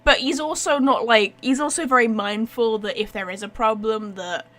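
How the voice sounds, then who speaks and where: A woman's voice: fairly high pitch, normal speed, a bit bright. A woman giving chatty, informal live gameplay commentary, thinking aloud as she goes. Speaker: game streamer.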